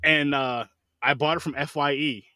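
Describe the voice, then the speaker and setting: A man hosting a podcast in casual chat, talking quickly and swearing freely. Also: a little high, no room sound, studio quality. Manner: rapid, animated, swears freely. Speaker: podcast host.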